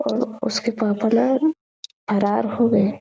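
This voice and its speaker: A woman's voice: fairly high pitch, level tone, slow pace, gravelly, mellow. A young woman chatting casually and personally on a phone call, often repeating her phrases, in a lo-fi recording.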